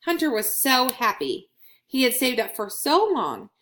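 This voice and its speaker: Woman reading a kids book out loud, highish voice, really animated. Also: measured pace. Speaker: storybook reader. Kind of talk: children's read-aloud narration.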